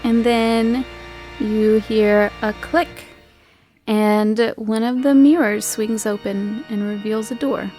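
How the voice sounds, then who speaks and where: A woman's voice: on the high side, fairly even intonation, takes it slowly, warm tone, faint music behind. A woman game master casually narrating a tabletop roleplaying game.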